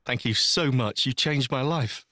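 A man's voice: medium pitch, unhurried, pushed and bright, no echo, clean studio sound. A man co-hosting a podcast in casual chat, joking and teasing drily. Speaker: podcast co-host.

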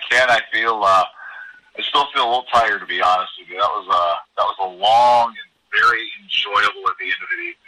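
Male sports announcer guest, lowish pitch, very sharp tone, casual talk. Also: brisk, rough recording.

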